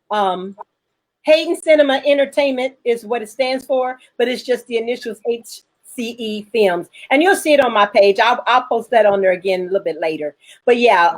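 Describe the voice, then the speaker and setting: A woman hosting a casual online panel chat, speaking frankly and jokingly as a filmmaker. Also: a little high. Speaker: host, filmmaker.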